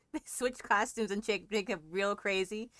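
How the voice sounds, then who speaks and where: A woman's voice: medium pitch, measured pace, fairly bright tone, no echo. A woman giving casual, wry and playful commentary as she plays a game. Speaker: game streamer.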